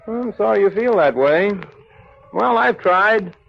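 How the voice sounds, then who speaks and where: An actor playing a cheerful, friendly, conciliatory nephew in an old-time radio drama, speaking in a genial, animated way. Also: male voice, sits high, takes it slowly, gravelly, exaggerated voice. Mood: genial, hearty. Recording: muffled old radio recording.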